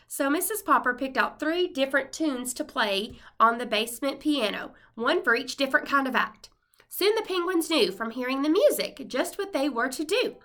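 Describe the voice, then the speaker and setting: A woman reading a children's storybook aloud expressively, like a teacher addressing young pupils. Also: high voice. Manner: expressive, voices the characters' dialogue.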